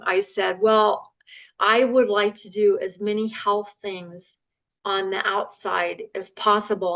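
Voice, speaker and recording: A woman telling a long story in casual chat as a podcast guest, animated, with filler words. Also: medium pitch. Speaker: patient's wife, podcast guest. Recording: muffled lo-fi podcast audio.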